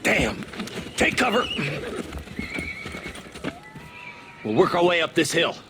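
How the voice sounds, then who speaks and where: An actor playing a weary old frontier marshal in a video game, with a gruff American Southern drawl and a dryly sarcastic, folksy manner. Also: male voice, a little high, big pitch swings, normal speed, background noise. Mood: urgent. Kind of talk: video game cutscene acting.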